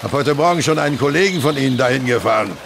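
A man's voice: mid-range voice, animated, quick pace, vocal fry. An actor playing a blunt, gossipy country coachman in a German audio drama, talking in a brash, colloquial, matter-of-fact way. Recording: faint background noise.

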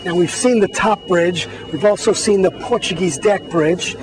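A man's voice: sits high, moderate pace, music in the background, rough recording. A man, a magazine editor, walking viewers through a boat and pointing out its features one by one in an upbeat tour narration.

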